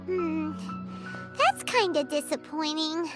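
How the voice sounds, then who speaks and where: A very high, squeaky fairy sidekick. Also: female voice, slow, music in the background. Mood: let down. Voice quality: squeaky, childlike. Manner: refers to herself in third person, exclamatory, expressive. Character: small chirpy childlike female fairy companion, excitable and nosy. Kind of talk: video game character acting.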